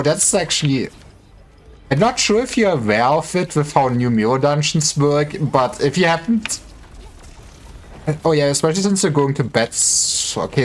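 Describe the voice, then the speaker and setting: A man's voice: medium pitch, a little hiss or hum. A man livestreaming games, chatting casually in a joking, rambling way with some stammering. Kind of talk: casual gaming livestream chat.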